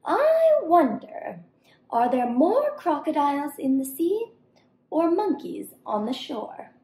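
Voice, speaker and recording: A woman's voice: high-pitched, sing-song, slow pace, mellow, pitched above the performer's own voice, heavily acted. A woman voicing a clever little female monkey from a storybook, sly and bossy, with theatrical emphasis. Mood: feigned wondering. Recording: roomy indoor echo.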